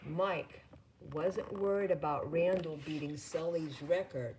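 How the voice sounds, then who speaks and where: A low-pitched woman narrates a children's picture book at a slow pace. Her delivery is deliberate and a little halting, with faint noise behind her in a home-style recording. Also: darkish tone, rough recording. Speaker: storybook reader. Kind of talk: read-aloud children's story narration.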